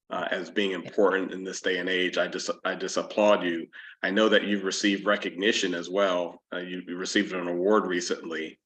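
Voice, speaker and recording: A man hosting a business chamber interview on a video call, speaking in a measured, appreciative way. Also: deep voice, pushed and bright. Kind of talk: formal interview thanks.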